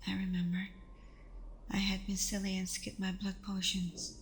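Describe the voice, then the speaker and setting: A woman playing a gentle, protective vampire girlfriend in an ASMR roleplay, speaking softly and often close to a whisper, soothing and unhurried. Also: mid-range voice, monotone, slight background noise, lightly acted. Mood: tender, surprised. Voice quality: soft, breathy, often near whisper.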